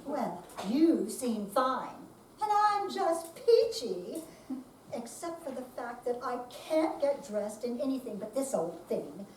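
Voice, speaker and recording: A woman's voice: a little high, big pitch swings, slow pace, a little mellow. A woman playing a stubborn, sarcastic retired woman in a live readers theater piece, in an echoey room. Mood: sarcastic, self-pitying. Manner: sarcastic, grumbling. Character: feisty, stubborn, grumbling retired independent woman. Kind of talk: readers theater dramatic reading. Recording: echoey room, distant mic, faint noise.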